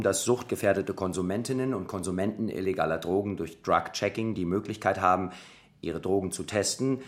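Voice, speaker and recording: An earnest, idealistic local politician, speaks in a low, articulate voice. The delivery is that of a naturalistic radio drama. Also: male voice, gravelly, a little hiss or hum.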